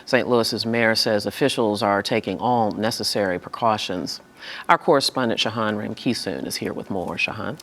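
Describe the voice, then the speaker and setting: A man's voice: on the low side, moderate pace, fairly bright tone. A man working as a news anchor, presenting broadcast news and interviews in a studio. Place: television studio.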